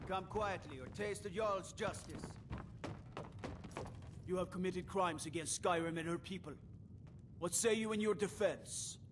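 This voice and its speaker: An actor playing a stern, officious town guard in a fantasy video game, speaking formally. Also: male voice, high-pitched, a little slow, pauses often, background noise. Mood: stern, accusing. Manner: formal, admonishing. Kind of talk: video game character dialogue.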